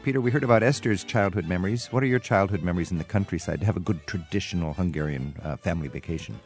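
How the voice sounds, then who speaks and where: A man hosting a travel radio show, asking curious quick follow-up questions in a conversational interview. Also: low voice, faint music behind.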